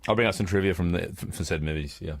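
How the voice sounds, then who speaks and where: A low-pitched Australian man chats as a podcast co-host. His delivery is casual and discursive, explaining as he goes, and the sound is dry and close. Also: fast, no echo.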